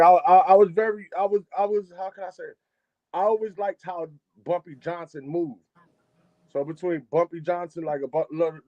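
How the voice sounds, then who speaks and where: A man from chats as a guest on a livestream. He is relaxed and loose, sometimes repeating his words before finishing a thought. His slightly raised voice comes through a muffled, dry video call. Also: fairly high pitch, no room sound. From Chicago, United States. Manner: laid-back, repeats and restarts phrases. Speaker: guest, music engineer. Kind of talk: casual interview over a live stream.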